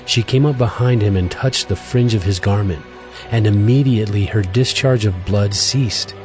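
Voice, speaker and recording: A man narrating a dramatized scripture reading in a steady, even storytelling voice. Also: lowish voice, normal speed. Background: music.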